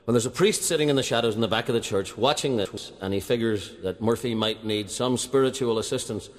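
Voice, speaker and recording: A man's voice: mid-range voice, fairly quick, long reverb tail. A man telling a long comic story and building steadily toward its punchlines. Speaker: joke teller.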